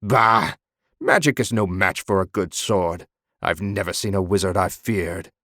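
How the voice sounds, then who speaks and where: A man voicing a blunt, gruff veteran sergeant training a young lord in an audiobook. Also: low voice, unhurried, sharp tone, no echo, clean studio sound.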